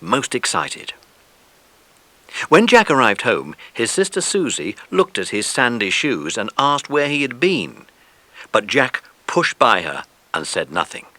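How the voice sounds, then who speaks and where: A man narrates a children's story with expressive, scripted delivery. His mid-pitched voice is bright and animated, recorded very dry. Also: dry recording. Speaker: audiobook narrator.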